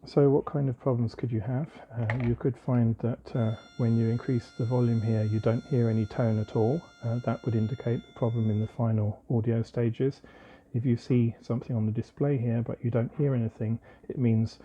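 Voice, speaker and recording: A man presenting an informal hands-on technical tutorial, explaining methodically in measured phrases with short pauses. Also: medium pitch, flat delivery, muffled recording, a little hiss or hum.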